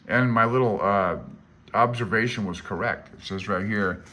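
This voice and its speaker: A man's voice: lowish voice, unhurried, bright, forceful tone. A man in his fifties giving an informal read-along tutorial, thinking aloud in a hesitant, self-deprecating way. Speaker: amateur radio hobbyist explaining a handbook.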